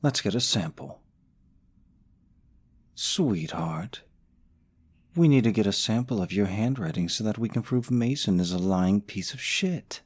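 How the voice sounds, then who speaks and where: Male actor as yandere boyfriend, young, low-pitched, scheming, falsely sweet, snide, audio roleplay. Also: lively intonation, measured pace, pauses often, darkish tone, husky. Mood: scheming, pleading. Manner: coaxing endearments, snide jabs at his rival. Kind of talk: scripted audio roleplay acting.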